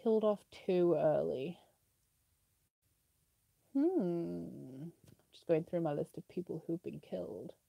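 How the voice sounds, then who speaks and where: A woman's voice: lowish voice, expressive, slow, creaky, pauses often, breathy edge, dry recording, dull audio. A young woman with a British accent, sniffly with a slight cough, chatting casually as she answers viewer questions in a live stream, with lots of "like" fillers. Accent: British English.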